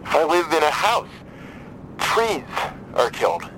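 A man acting in an audio drama as a troubled, despairing caller, speaking in a halting, brooding way with stammers and trailing phrases. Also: a little high, huge pitch swings, background noise. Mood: bleak, brooding. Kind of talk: full-cast audio drama dialogue.

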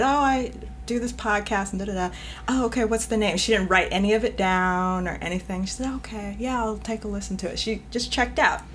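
A woman's voice: mid-range voice, a bit bright, slight background noise. A woman from New York, a guest editor talking directly in a casual podcast chat and laughing often as she speaks.

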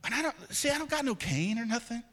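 A man in his forties preaching a sermon as pastor, with call-and-response asides, swinging from loud urging to soft speech. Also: extremely high pitch, expressive, fast talker. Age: 40s.

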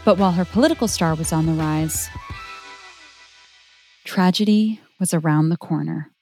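A woman's voice: fairly low pitch, moderate pace, mellow, soft background music. A woman narrating a scripted biography as a children's story, explaining things in simple words.

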